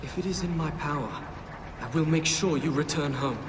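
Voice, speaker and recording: A man's voice: fairly high pitch, brisk, noisy background, close to an everyday voice. A man playing a young, wry swordsman prince in a video game cutscene. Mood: earnest. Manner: wry, dryly joking. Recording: game soundtrack mix with loud music. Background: loud music.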